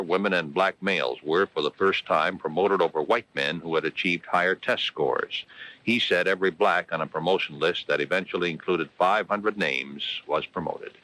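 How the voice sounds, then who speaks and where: A man's voice: low voice, sharp tone, no echo, poor audio. An American man anchoring a local 1970s radio newscast from a script.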